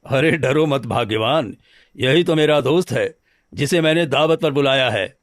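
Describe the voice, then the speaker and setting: A man's voice: medium pitch, normal speed, dry recording, clean studio sound, lightly acted. A man playing a good-natured married village woodcutter in a cartoon story, earnest and homely in manner. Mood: reassuring. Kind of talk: Hindi cartoon story dialogue.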